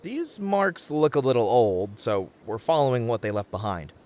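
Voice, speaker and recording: Man playing a lively, wry bunny-man monster tracker. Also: mid-range voice, moderate pace, natural voice. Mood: explaining. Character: muscular bunny man camper, sensible, easily flustered monster tracker. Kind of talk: comedic audio roleplay acting.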